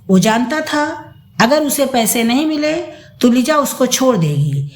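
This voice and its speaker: Female storyteller, high voice, very expressive intonation, dramatic scripted narration. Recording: roomy echo.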